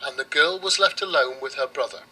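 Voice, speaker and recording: A man narrating a children's storybook in a measured, expressive way. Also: medium pitch, breathy edge, rough recording.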